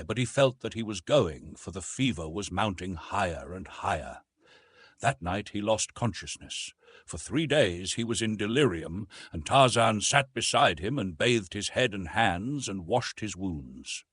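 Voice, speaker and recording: Male audiobook narrator, middle pitch with very lively movement, husky tone, dry close recording. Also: dry recording. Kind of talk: scripted narration of an adventure novel.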